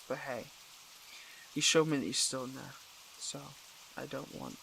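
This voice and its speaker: A man playing a conflicted, tender superhero in an intimate audio roleplay, with a gravelly voice and halting, earnest, emotional delivery. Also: mid-range voice, expressive, sharp tone, a little hiss or hum, full character voice, poor audio. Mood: resolute, conflicted.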